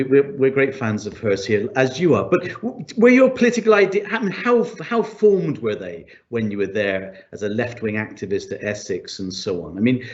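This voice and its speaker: A man's voice: mid-range voice, darkish tone, dull audio. A man interviewing a guest, asking long, friendly questions full of fillers. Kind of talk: conversational interview.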